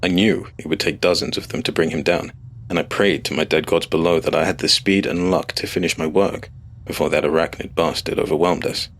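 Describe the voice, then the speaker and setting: A man's voice: rumbling low, steady tone, a little fast, a bit bright. A man reading a scripted first-person fantasy story as its narrator.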